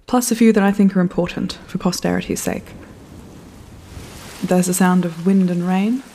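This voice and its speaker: A woman's voice: lowish voice, dark tone, a little hiss or hum. An actress playing an earnest, wistful woman recording a reflective monologue for posterity in an audio drama. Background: music and layered sound effects.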